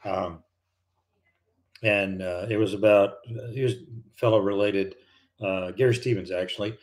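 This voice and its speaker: Older American man, low easygoing voice. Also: steady tone, measured pace. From United States. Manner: rambling, conversational, many fillers and restarts. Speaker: livestream host, retired pilot. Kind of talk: casual live-stream chat.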